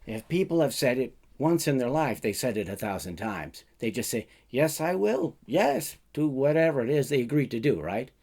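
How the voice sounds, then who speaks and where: Guy reading his own short story, first person, chatty and expressive, medium pitched voice. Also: brisk, few pauses.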